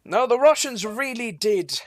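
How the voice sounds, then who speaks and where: A man's voice: very high-pitched, lively intonation, unhurried, no room sound. A man from Norway giving casual live esports commentary, trading banter with dry, teasing understatement. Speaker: esports match caster.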